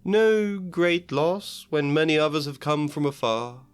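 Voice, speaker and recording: A man doing a slow, mournful gentleman ghost. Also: a little high, animated, clean tone, faint music behind, pitched above the performer's own voice. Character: a mournful, courtly old male ghost.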